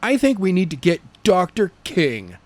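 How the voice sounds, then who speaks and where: Man reading a earnest, animated young male hero. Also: sits high, normal speed, no room sound, pitched above the performer's own voice. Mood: earnest, hesitant. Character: young male hero, Skylar, conscientious, hesitant, tired. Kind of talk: amateur audiobook character reading.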